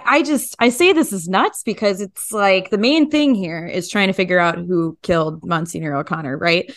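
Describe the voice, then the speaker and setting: A woman's voice: on the low side, creaky, a bit bright. A woman hosting a podcast in casual, emphatic, stop-start conversation. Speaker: podcast host. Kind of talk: casual interview chat.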